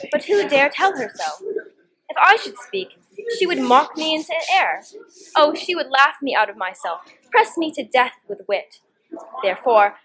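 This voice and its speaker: A teenage girl acts a young noblewoman plotting in a Shakespeare comedy. Her voice is fairly high and highly animated, and she declaims the verse theatrically. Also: noisy background, lightly acted. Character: Hero, scheming young noblewoman feigning disdain for her cousin. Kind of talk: Shakespearean stage dialogue, student performance.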